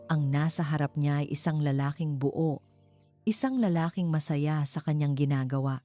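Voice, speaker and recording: A woman's voice: deep voice, dark tone. A woman reading a scripted story as its narrator, over background music. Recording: clear music bed under narration.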